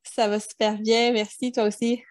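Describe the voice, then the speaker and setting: A woman's voice: a little high, little pitch movement, moderate pace. Chatty Québécois woman podcast host. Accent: Québécois French. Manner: friendly and conversational, with fillers. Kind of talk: casual podcast interview.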